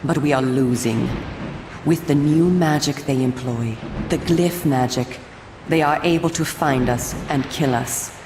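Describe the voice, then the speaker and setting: An actress voicing a solemn, coolly persuasive female vampire resistance agent in a video game, speaking in a formal, measured, theatrical way. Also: extremely low pitch, reverberant, noisy background. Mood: earnest, grave. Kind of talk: scripted video game cutscene acting.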